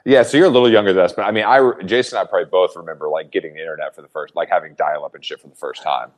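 A man in his thirties co-hosting a casual chat, teasing with quick asides. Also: low-pitched. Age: 30s. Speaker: podcast co-host.

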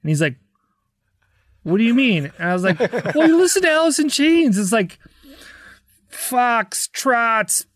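An American man, a musician being interviewed, telling anecdotes in a casual, animated way and acting out the dialogue. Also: very high voice, a little slow, pauses often, no echo, studio quality. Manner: conversational, acts out quoted dialogue. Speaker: interview guest, rock drummer.

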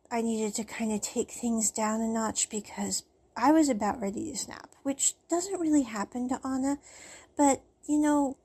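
A woman talks through her day in a casual, chatty monologue, laughing now and then as she goes. Her voice is fairly high and a little husky. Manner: chatty, animated storytelling with laughs. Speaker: vlogger.

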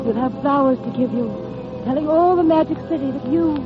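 Sweet sad godmother actress, high voice, tender, sounds like a tinny old radio play. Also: lightly acted. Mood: tender wonder. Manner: soft, tender, halting. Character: gentle godmother holding back grief. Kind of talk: 1940s radio drama acting. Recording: tinny vintage radio, music behind.

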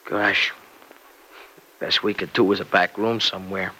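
An actor playing an earnest, excitable young husband and devoted son-in-law in an old radio serial. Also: male voice, low voice, unhurried, husky, full character voice, poor audio. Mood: reflective, candid. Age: young adult. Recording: vintage radio recording.

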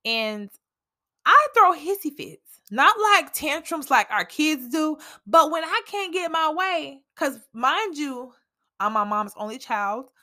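A woman's voice: sits high, expressive, takes it slowly, bright, forceful tone. A woman in her thirties hosting her own podcast as a stay-at-home mom, talking candidly and chattily, laughing often. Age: 30s. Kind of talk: casual solo podcast talk.

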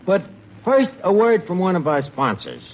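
Male radio comedy host-narrator: high, lively, mock-dramatic, slightly noisy dull broadcast. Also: moderate pace.

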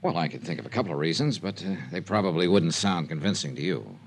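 A hard-boiled, wary American investigator in classic radio drama style. His voice sits fairly low but moves around a lot, wry and clipped. It sounds like an old broadcast. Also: animated, fast talker, gravelly, full character voice. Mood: skeptical. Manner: wry, clipped, matter-of-fact. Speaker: detective narrator and lead.

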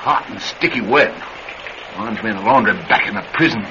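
An actor with a Southern US accent playing a cocky, menacing young escaped killer in a radio drama, folksy and taunting. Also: male voice, fairly low pitch, moderate pace, natural voice. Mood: boastful. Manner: folksy drawl, taunting and boastful. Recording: noisy, dull old radio drama recording.

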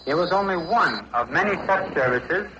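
A man's voice: high-pitched, lively intonation, moderate pace. A young American man from Detroit, an army sergeant giving a prepared statement in a 1940s radio broadcast. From Detroit, United States. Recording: noisy 1940s shortwave radio relay.

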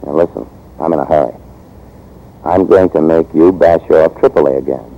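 A man playing a brash, confident American army captain in an old-time radio drama, with a brisk, commanding, cocky delivery. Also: a bass voice. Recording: dull, hissy old radio recording.

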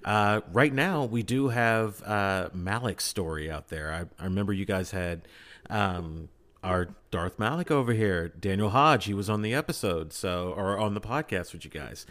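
Man, lowish voice, casual podcast chat, emphatic repeated phrasing. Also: expressive, normal speed, fairly bright tone. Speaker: podcast guest.

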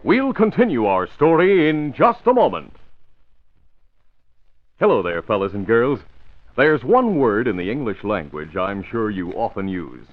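A man's voice: on the low side, big pitch swings, unhurried, faint music behind. A man narrating and announcing in scripted 1940s radio style. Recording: thin, tinny old radio recording.

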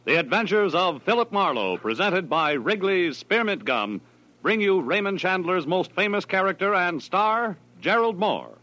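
A man's voice: high voice, very animated, normal speed. An American man announcing in polished, upbeat 1940s radio style, reading sponsor copy and credits. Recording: dull vintage radio recording, music behind.

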